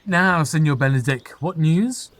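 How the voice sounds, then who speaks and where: An actor playing a flippant, mocking young lord in a Shakespearean radio play, jaunty and bantering. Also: male voice, fairly high pitch, a little slow, fairly bright tone. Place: home recording.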